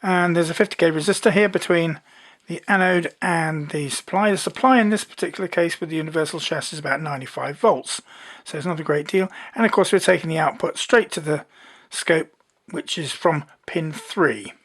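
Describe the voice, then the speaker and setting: The voice sits at a middle pitch and belongs to someone presenting a hands-on electronics demonstration. The delivery is informal and unhurried. Each step is explained plainly as it is shown.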